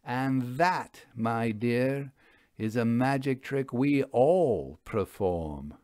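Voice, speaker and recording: Audiobook mystery role: male reader as self-assured female ghost hunter, rhetorical, deliberate, mid-pitched, dry sound. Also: animated, darkish tone, no echo. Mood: wry, dramatic. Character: Vera, confident adult woman ghost hunter, dramatic and musing. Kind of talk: audiobook character dialogue.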